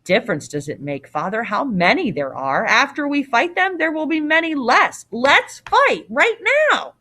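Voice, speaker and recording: A woman's voice: fairly low pitch, very animated, normal speed, fairly bright tone, full character voice. A woman voicing a bold, defiant young Greek hero prince with a plucky, impetuous manner in a storybook. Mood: indignant.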